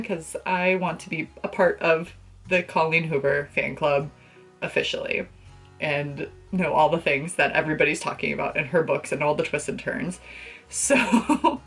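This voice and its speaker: A young woman chatting casually to the camera as a book vlogger, with an expressive, gushing and emphatic way of talking. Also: deep voice, breathy edge. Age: young adult. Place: small bedroom, indoors.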